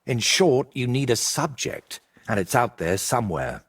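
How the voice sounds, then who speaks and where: An actor playing an ambitious, vain medieval painter in a video game, speaking in an articulate, theatrical and persuasive way. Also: male voice, medium pitch, sing-song, measured pace, husky, full character voice. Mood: self-assured. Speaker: painter character. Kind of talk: video game cutscene dialogue.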